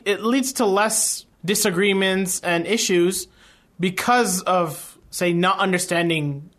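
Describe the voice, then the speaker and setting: A man from the United States with an American accent, a guest on a radio discussion, explaining his points calmly. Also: high-pitched, slow pace. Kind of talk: radio talk show discussion.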